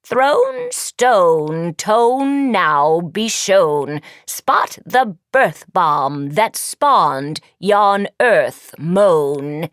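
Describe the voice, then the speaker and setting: A woman voicing an ancient, stern old sorceress teacher in a storybook, with a dry, raspy, drawn-out, scornful delivery. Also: lowish voice, big pitch swings, fairly bright tone, no room sound, full character voice. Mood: ominous.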